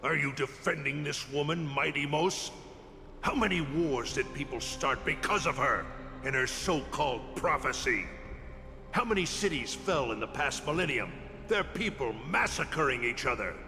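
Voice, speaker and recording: An actor playing an embittered, defiant old warrior teacher in a video game, gravelly and accusing in measured dramatic lines. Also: male voice, a little high, animated. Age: older. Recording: long hall reverb under loud music.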